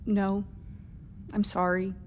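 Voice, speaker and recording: A woman acting an earnest, vulnerable astronaut captain in a radio drama, with halting, emotional delivery. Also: mid-range voice, flat delivery, darkish tone, a little hiss or hum, lightly acted. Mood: regretful.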